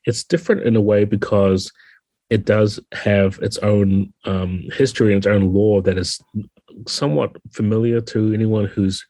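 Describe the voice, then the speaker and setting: A man's voice: deep voice, darkish tone, breathy edge, dry recording. A man with a New Zealand accent explaining things conversationally as a guest in a casual podcast interview. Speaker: podcast guest, tabletop game designer.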